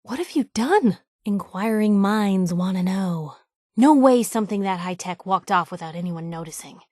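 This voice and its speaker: A young woman with an American accent playing a plucky, wisecracking reporter companion in a video game, with a wry, expressive delivery. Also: medium pitch, moderate pace, no echo, clean studio sound, natural voice. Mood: appalled, pleading, then wry, curious. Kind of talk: video game voice acting, in-character banter.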